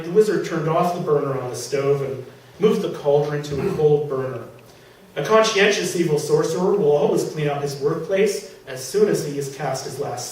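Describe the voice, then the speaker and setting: A man reading his own comic story to a live audience, animated and exclaiming, with digressive asides. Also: on the high side. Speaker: author reading own fiction. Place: public reading event in a venue. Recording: echoey room from far off, faint noise.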